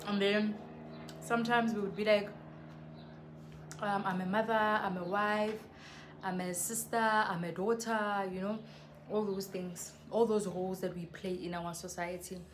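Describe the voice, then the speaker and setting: Young woman, from South Africa, soft voice, casual vlog chat, earnest, faint outdoor hiss. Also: mid-range voice, steady tone, measured pace. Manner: chatty, earnest, addresses viewers as guys. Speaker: YouTuber. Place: covered patio outside a house.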